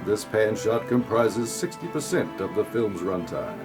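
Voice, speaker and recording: A man's voice: lowish voice, lively intonation, measured pace, over music. A man narrating a scripted comic audio play in a mock-dramatic, tongue-in-cheek way.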